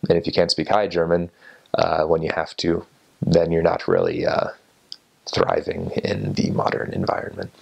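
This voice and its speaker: A man in his twenties, seated indoors and talking to an off-camera interviewer in a relaxed, thoughtful way, with many fillers and restarts. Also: rumbling low, moderate pace, vocal fry, breathy edge, dull audio. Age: 20s. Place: indoor room by a window, seated in an armchair.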